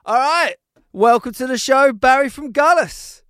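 A man's voice: very high voice, a little slow, fairly bright tone, clear, resonant tone, no echo, clean studio sound. A man hosting a podcast in a jokey, matey and enthusiastic way, with casual swearing. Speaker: podcast host. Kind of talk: upbeat podcast presenting and casual chat.

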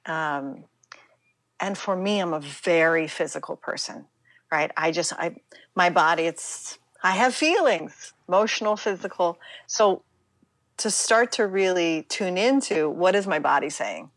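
A middle-aged American woman speaking reflectively as an interview guest, halting and emphatic, often acting out her own inner dialogue. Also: fairly low pitch, lively intonation, fairly bright tone, no echo. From United States, Pittsburgh, Pennsylvania.

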